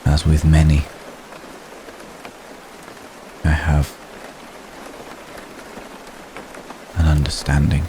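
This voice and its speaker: A man playing an ancient, brooding, remorseful vampire gentleman in an intimate ASMR roleplay, speaking formally and solemnly with long, measured pauses. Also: extremely low pitch. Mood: guilty, resolute. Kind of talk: ASMR roleplay, intimate slow dramatic monologue. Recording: steady rain noise behind the voice. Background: rain.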